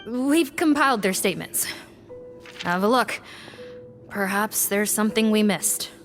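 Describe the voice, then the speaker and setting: A brisk female guard with a mid-pitched, very animated voice and a blunt, confident edge, as a woman acts her out. Faint game music plays behind her. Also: close to an everyday voice. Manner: blunt, confident, lively delivery. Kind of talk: video game voice acting.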